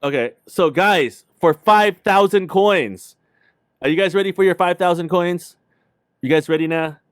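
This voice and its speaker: A man's voice: high voice, unhurried, clean tone, no room sound, clean studio sound. A man co-hosting a casual livestream, telling stories in a slangy, rambling way. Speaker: podcast co-host.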